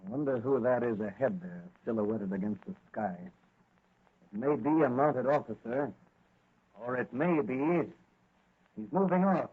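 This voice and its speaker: An actor playing a brave young cop turned masked avenger in earnest, declamatory 1940s radio style. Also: male voice, medium pitch, a little slow, pauses often, breathy edge. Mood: tense. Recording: dull, muffled old radio recording.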